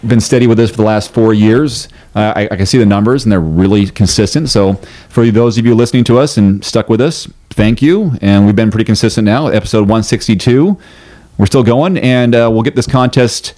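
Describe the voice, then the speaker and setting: Male podcast co-host, fairly low voice, easygoing and chatty, restarts his sentences often. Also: a little fast. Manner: relaxed, chatty, frequent restarts. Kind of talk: casual chat.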